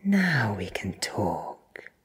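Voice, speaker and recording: A performer voicing an ancient, powerful vampire who speaks with cold, deliberate menace. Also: medium pitch, sing-song, slightly rough, heavily acted.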